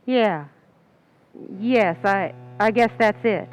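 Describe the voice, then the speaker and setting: A woman's voice: mid-range voice, slow, lightly acted, low-quality recording. A woman playing a young, ambitious movie stand-in with a guilty secret, confiding in old-time radio suspense style. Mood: nervous, evasive.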